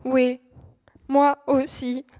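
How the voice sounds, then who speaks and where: A woman's voice: sits high, flat delivery, drawn-out words, darkish tone. A young woman playing a gallant, lovesick male knight in a staged comic audio fiction, speaking formally and courteously, oblivious to rejection. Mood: earnest, lovestruck. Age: young adult. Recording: echoey hall reverb.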